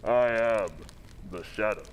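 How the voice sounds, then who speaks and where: A man's voice: lowish voice, measured pace, slight background noise, close to an everyday voice. A man playing a stern masked crime fighter in an old-time radio drama, firm and commanding. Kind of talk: 1940s radio acting.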